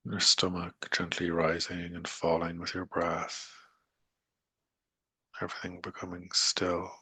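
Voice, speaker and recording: A man leading a guided meditation in a soothing, unhurried manner with long pauses and gentle coaxing, his voice breathy and gravelly. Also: low-pitched, monotone, dry recording.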